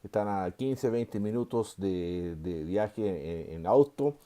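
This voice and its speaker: A man in his sixties, from Chile, teaches a recorded seminary course. His voice sits a little low and sounds warm and mellow. He talks at an easy pace, fills his pauses with soft "eh" and "ah" sounds, and slips in a gentle joke now and then. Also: expressive. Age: 60s. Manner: unhurried, full of filler sounds and restarts; gently joking aside. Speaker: seminary professor. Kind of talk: online course lecture.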